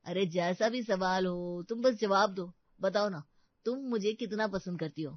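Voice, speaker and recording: A woman's voice: medium pitch, measured pace, no echo, lo-fi sound, natural voice. Female narrator as young male student in love: earnest, boyish, eager, audio-story delivery. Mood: coaxing. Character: eager, lovestruck young college man.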